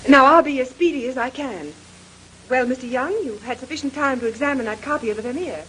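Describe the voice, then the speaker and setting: An actress playing a brisk, composed lady detective in a 1930s radio mystery, polite and probing as she takes charge. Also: sits high, a bit bright. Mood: composed, firm. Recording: noisy old radio drama recording.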